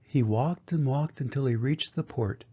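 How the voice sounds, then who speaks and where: A man's voice: mid-range voice, a little slow, mellow, breathy edge. An older man reading a children's picture book aloud as a grandfather, in storybook narration style. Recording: dull lo-fi home recording.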